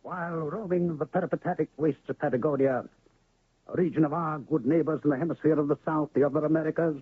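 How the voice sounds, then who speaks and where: A grandiose traveling medicine-show huckster in an old radio play, spinning florid patter in a high, husky voice. The sound is thin and narrow, like an old broadcast. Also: male voice. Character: pompous smooth-talking traveling medicine-show pitchman, male. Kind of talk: 1970s radio drama acting.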